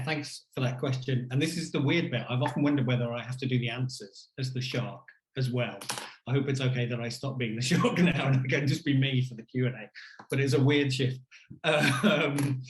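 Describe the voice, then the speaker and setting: A man answering questions as an academic keynote speaker, conversational and discursive, circling and correcting himself as he goes. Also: mid-range voice. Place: indoor room on a virtual conference call. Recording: roomy, echoey video call sound.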